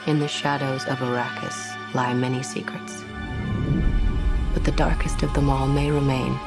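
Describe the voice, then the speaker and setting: A speaker narrating a film trailer in an ominous, flat tone. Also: medium pitch, moderate pace, loud music behind the voice, lightly acted.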